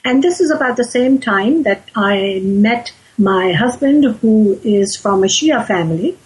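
A woman in her sixties from Pakistan, an author and activist answering interview questions in a measured, thoughtful way with frequent pauses. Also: mid-range voice, expressive, darkish tone, slightly rough, low-quality recording.

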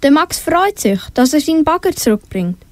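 Young female narrator for a children's audio story, high voice. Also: big pitch swings, normal speed, no echo.

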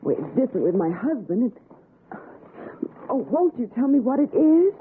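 An actress playing a young, fancy city wife, coaxing and fearful, with theatrical pleading in a 1960s radio suspense drama. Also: female voice, fairly high pitch, big pitch swings, moderate pace. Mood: weary, coaxing. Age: young adult. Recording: noisy, narrow-band vintage radio recording.

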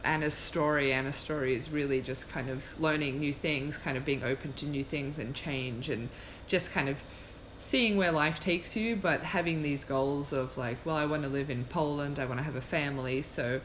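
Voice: medium pitch, fairly even intonation, moderate pace, few pauses, a bit bright, slight background noise, low-quality recording. A podcast host talking in an informal, reflective, conversational way, thinking aloud. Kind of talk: casual conversational podcast talk.

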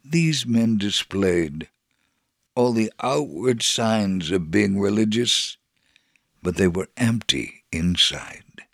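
A man reading a scripted first-person religious narrative in a measured, solemn, dramatic way. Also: fairly low pitch, lively intonation, creaky, fairly bright tone, no room sound, clean studio sound. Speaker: storyteller on a religious radio program.

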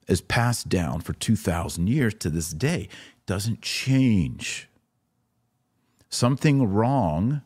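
An American man hosting a religious commentary podcast, speaking emphatically with deliberate pauses for stress. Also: on the low side, a little mellow. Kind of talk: opinionated commentary to camera.

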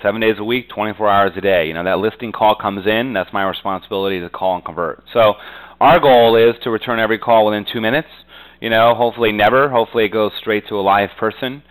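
A man's voice: on the low side, quick pace, clear, resonant tone, poor audio. A man being interviewed as a real estate agent, talking conversationally and confidently, with frequent verbal tags. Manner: frequently punctuates talk with "you know".

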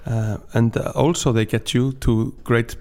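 Man, Icelandic accent, medium-pitched voice, casual podcast guest, halting phrasing, searches for words. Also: fairly even intonation, a little mellow. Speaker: singer-songwriter and storyteller, podcast guest.

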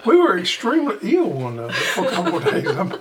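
A man hosting a garden radio show in casual chat, his voice slightly raspy as he recovers from illness. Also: sits high, huge pitch swings, quick pace, crowd noise. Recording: distant mic, faint noise.

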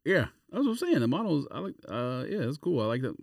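A man's voice: on the high side, very animated, quick pace, vocal fry, no room sound, studio quality. A man co-hosting a podcast in casual chat, rambling as he searches for the right words. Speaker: sneaker podcast host.

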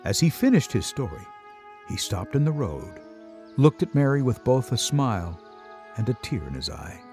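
A man's voice: medium pitch, big pitch swings, normal speed, a little mellow. A man narrating a scripted story as a storyteller. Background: music.

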